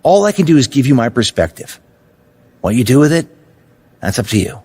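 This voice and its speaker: A seasoned basketball coach in a video game story. He is stern and frank, with a mid-pitched male voice that moves widely as he speaks. His delivery is firm and measured, tough yet supportive. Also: big pitch swings, a little mellow, slightly rough. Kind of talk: video game cutscene dialogue.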